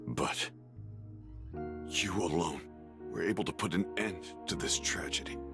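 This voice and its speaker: Weary solemn man in a game cutscene, super deep, very slow, music loud behind. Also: gravelly. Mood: solemn gratitude. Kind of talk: video game cutscene acting.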